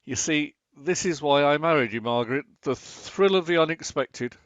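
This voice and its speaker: A man's voice: on the high side, normal speed, fairly bright tone, no echo, lightly acted. A man acting a long-suffering, dryly sarcastic husband in a domestic radio comedy. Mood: bemused. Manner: dry, exasperated sarcasm.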